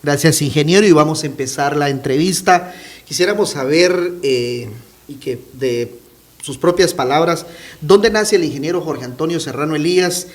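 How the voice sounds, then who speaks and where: A man's voice: a little high, normal speed. A man hosting a TV interview, asking formal, polite questions with frequent hesitations. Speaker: TV interviewer, host.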